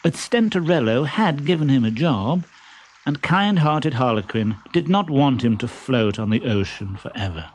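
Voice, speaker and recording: A man reading a children's story as scripted, expressive narration. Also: medium pitch, normal speed.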